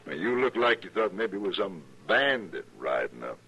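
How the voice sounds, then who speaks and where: An actor playing a pushy, smooth-talking freight boss in an old-time radio Western, with folksy, insinuating talk. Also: male voice, sits low, very animated, normal speed, sharp tone, heavily acted. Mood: falsely genial. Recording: noisy vintage radio drama recording.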